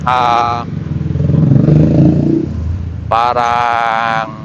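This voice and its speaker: A man's voice: mid-range voice, monotone, extremely slow. A man chatting casually as a motorcycle vlogger, giving unscripted running commentary into a helmet intercom mic. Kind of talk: casual vlog chat while riding. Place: outdoors on a motorcycle in city streets. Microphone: helmet intercom microphone. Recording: helmet mic with clear traffic noise. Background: vehicles and outdoor street noise.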